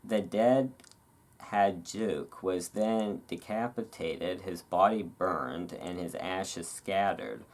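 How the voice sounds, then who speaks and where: A host giving a relaxed ASMR read-aloud, drifting into casual, musing asides. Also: deep voice, takes it slowly. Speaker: host reading and commenting on a magazine.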